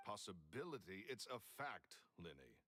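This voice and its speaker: A man's voice: low voice, sing-song, normal speed, fairly bright tone, slightly rough, no room sound, full character voice. An actor playing an irritable wealthy businessman and former magician in a video game, curt, impatient and dismissive.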